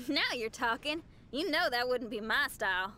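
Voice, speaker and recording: A woman's voice: sits high, animated, moderate pace, sharp tone, a little hiss or hum. A woman playing an upbeat, adventurous young female musician with a breezy, enthusiastic manner in a video game. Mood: amused.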